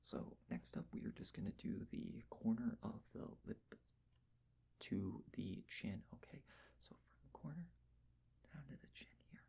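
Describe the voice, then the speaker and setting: Whispering ASMR man, breathy and creaky. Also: on the low side, expressive, measured pace. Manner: gentle, reassuring, instructing step by step. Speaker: ASMR roleplayer taking face measurements. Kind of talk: soft-spoken ASMR personal-attention roleplay.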